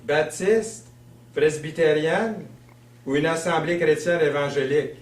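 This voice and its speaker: A man from Quebec with a Québécois French accent, preaching a sermon in a conversational, storytelling way. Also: fairly high pitch. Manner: conversational, storytelling, with fillers and slow emphasis. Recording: roomy sound, mic at a distance.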